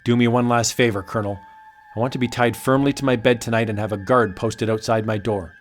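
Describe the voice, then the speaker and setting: A man's voice: mid-range voice, fairly even intonation, fairly quick, soft background music, close to an everyday voice. Man acting a grave, obsessed anthropologist. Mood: determined. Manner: earnest, solemn, dramatic. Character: grave, obsessed male anthropologist who believes in magic. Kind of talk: comic book audio drama acting.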